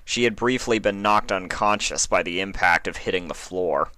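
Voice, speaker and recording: A man's voice: low-pitched, moderate pace, pushed and bright, smooth, no echo. A man narrating a written story as a dramatic reading. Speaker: fan fiction reader.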